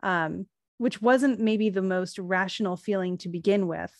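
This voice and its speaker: Woman, podcast co-host, casual explainer, mid-pitched voice, dry close mic. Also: unhurried, dry recording. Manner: thoughtful, explains at length with many fillers and restarts. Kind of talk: casual spiritual podcast chat.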